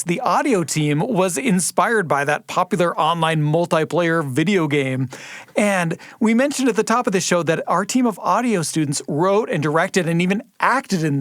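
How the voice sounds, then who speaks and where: A man's voice: sits high, no echo, clean studio sound. A man hosting a podcast, talking conversationally about how an episode was made. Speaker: podcast host.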